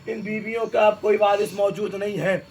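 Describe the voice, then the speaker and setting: Very high-voiced man preaching a lament. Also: fairly even intonation, darkish tone, slight background noise. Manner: emotional, mournful, addresses the gathering directly. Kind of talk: mourning sermon recitation.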